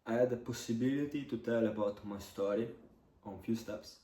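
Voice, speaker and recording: Young Italian man, halting roomy vlog. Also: mid-range voice, mellow, a little hiss or hum. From Italy. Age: 20s. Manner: halting, many "uh" pauses, non-native phrasing. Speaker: vlogger. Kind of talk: casual storytelling to camera. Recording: echoey room, mic at a distance.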